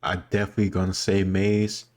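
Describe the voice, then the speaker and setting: A man's voice: low voice, monotone, a little fast, darkish tone. A man giving casual commentary as he answers quiz questions, thinking aloud and doubting himself. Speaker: host reacting to a history quiz.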